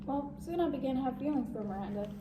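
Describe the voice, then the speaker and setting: A woman's voice: high voice, lively intonation, fast talker. A woman playing a sincere, pressured, romantic teenage football star in an amateur dramatic monologue. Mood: tender. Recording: echoey, distant, very noisy room.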